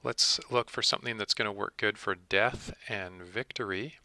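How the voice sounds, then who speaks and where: A man presenting a software tutorial, explaining each step methodically in a close-miked voice-over. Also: on the low side, normal speed, no echo. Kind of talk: step-by-step screen tutorial narration.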